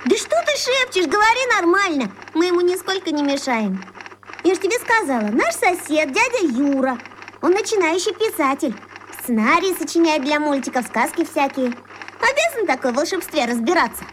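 A woman's voice: high-pitched, big pitch swings, slight background noise. A woman playing a bossy, chatty, caring little girl who coaxes and stresses her words in a children's radio play. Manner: brisk and coaxing, stressing words.

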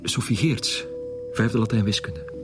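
A man's voice: lowish voice, measured pace, natural voice. An actor playing an evasive, shaken Flemish school principal in a radio drama, hesitant and stammering as he weighs his words. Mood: nervous, defensive. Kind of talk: naturalistic radio drama acting.

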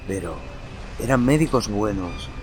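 A man narrating a horror story in a measured, dramatic way, with lingering pauses. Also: lowish voice, lively intonation, background noise. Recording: narration over background music.

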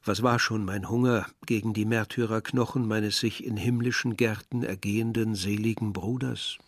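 An actor playing a reflective man narrating his village youth in a literary radio drama, measured and articulate. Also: fairly low pitch, steady tone.

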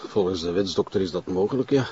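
A terse Flemish forensic investigator played by an actor, a man with a lowish, dark voice. He gives facts drily, as in a television police series, with slightly muffled sound. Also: fast, lightly acted. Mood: curt, dry. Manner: terse, factual. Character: curt, dry male forensic investigator. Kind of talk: naturalistic television crime drama acting. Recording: dull soundtrack with faint outdoor noise.

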